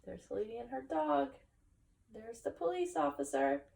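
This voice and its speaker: A woman with a fairly high voice reads a picture book aloud for children. She tells it expressively and drops in chatty little asides. She sounds a bit away from the microphone. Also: moderate pace, creaky, distant mic. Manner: expressive storytelling with chatty asides to young listeners. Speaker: story time reader, teacher.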